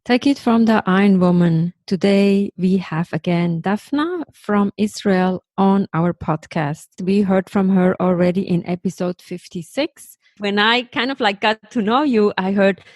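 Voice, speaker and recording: A woman's voice: medium pitch, a little slow, hardly any pauses, a little mellow, no room sound. A woman hosting a podcast, introducing her guest and encouraging her with frequent murmurs of agreement. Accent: German. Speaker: podcast host. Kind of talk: podcast interview.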